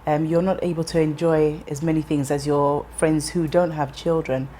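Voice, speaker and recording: A woman in her thirties, raised in England, telling her life story in an interview in a measured, reflective way with frequent pauses and restarts. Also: low-pitched, flat delivery, dark tone, a little hiss or hum. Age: 30s.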